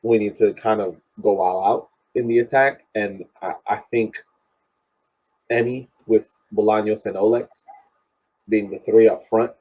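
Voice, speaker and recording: A man on a tinny, phone-like call giving casual sports commentary as a panelist, halting and deliberate as he thinks aloud. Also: lowish voice, fairly even intonation, breathy edge. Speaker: podcast panelist. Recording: tinny, muffled phone-like line.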